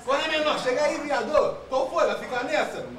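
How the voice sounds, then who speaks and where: A man's voice: very high voice, normal speed, recorded from a distance. Slangy mocking man off-mic in an echoey room with crowd chatter. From São Paulo, Brazil. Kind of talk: street-slang casual banter.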